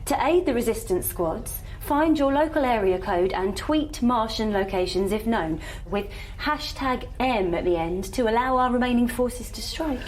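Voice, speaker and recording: An actress with a British accent playing an anxious, resourceful woman surviving an alien invasion, speaking in an urgent, halting, pragmatic way in an audio drama. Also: on the high side, lively intonation, faint music behind, lightly acted, rough recording. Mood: tense, urgent. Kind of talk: naturalistic audio drama acting.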